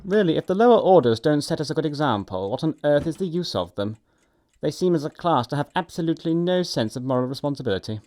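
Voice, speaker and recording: A man performs a young upper-class English idler in a comedy of manners. He is flippant and arch, rattling off witty remarks with lively, swooping intonation. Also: a little high, quick pace, lightly acted. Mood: lofty. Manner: breezy, arch, articulate. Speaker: actor in an audiobook production. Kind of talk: stage comedy acting.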